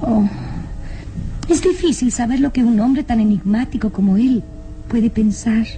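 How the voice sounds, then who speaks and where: A woman's voice: fairly high pitch, warm tone, close to an everyday voice, low-quality recording. An actress playing a young, romantic noblewoman in a melodramatic radio drama, nervous yet commanding, with theatrical delivery.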